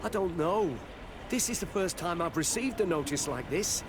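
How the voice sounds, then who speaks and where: A man's voice: on the high side, expressive, normal speed, background noise, natural voice. An actor playing a nervous, frightened male informant who stammers and pleads, in a video game. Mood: worried.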